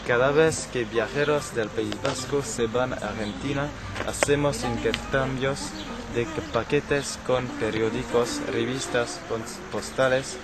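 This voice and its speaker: Man, reader, slow and stumbling, average pitch, strong music bed. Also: fairly even intonation. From French Basque Country. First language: French. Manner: halting, restarts mid-word. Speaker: personal testimony narrator. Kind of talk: reading a prepared personal statement. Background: loud music.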